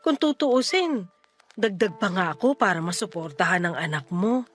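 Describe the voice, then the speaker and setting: A woman playing a worried, caring young friend in a Filipino radio drama, earnest and gently insistent, with a Filipino accent. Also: medium pitch, animated, moderate pace, natural voice. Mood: earnest, reassuring. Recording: music behind the voice.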